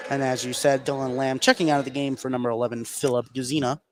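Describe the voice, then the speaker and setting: A man's voice: medium pitch, little pitch movement, quick pace. An American man doing live radio basketball play-by-play as the game's commentator. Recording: noisy gym ambience behind commentary.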